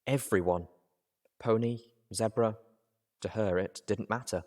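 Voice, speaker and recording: A man voicing a poised, cool-headed female pony in a story reading, speaking in a measured and gently reproving way. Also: lowish voice. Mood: level, earnest.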